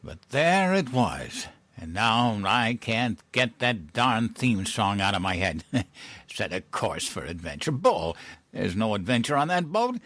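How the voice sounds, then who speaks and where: Man playing a childish, husky, animated US President. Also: lowish voice, measured pace, dry recording, heavily acted. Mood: excited. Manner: hesitant, earnest, stammering. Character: childish, dim-witted, TV-obsessed male US President. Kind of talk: comic audio drama acting.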